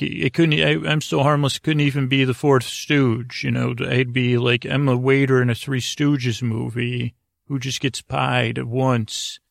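A man with a creaky voice hosting a bedtime podcast, rambling along in a meandering, halting way and interrupting himself. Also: mid-range voice, dry recording, clean studio sound. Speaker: podcast host.

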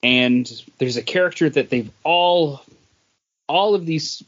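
A man's voice: fairly high pitch, slow pace, fairly bright tone, dull audio. A man co-hosting a podcast, chatting casually about comics and beer. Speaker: podcast host.

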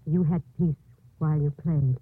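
A woman's voice: deep voice, fairly even intonation, normal speed, slightly rough, no echo. A woman playing an earnest, compassionate nun driven by inner conviction, in 1950s radio drama acting style. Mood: gentle concern. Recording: muffled, narrow-band 1950s radio broadcast.

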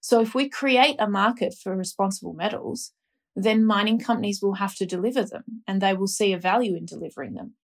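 A woman's voice: mid-range voice, brisk. A woman, an expert guest on a panel, explaining her points with confident everyday examples. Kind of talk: panel discussion.

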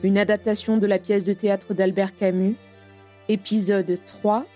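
A woman reading a formal, measured scripted introduction to an audio drama as its narrator. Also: mid-range voice, level tone, dark tone. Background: music.

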